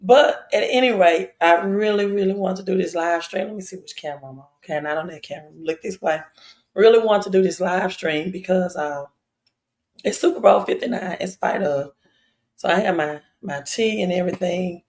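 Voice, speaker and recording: A woman hosting a casual live stream, her voice raspy and hoarse, addressing viewers directly and repeating phrases for emphasis. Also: lowish voice, brisk, darkish tone.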